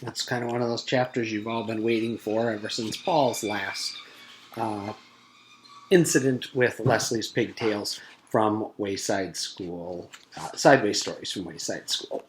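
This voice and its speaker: A man's voice: lowish voice, measured pace, a little hiss or hum, low-quality recording. A man, a teacher, reading a storybook aloud.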